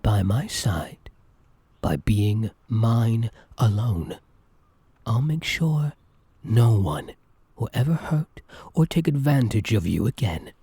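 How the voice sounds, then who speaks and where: A man playing an obsessive, menacing yandere supervillain in an intimate, theatrical ASMR roleplay, with a breathy, deliberate delivery. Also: lowish voice, big pitch swings, dark tone, dry recording, heavily acted. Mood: possessive, seductive.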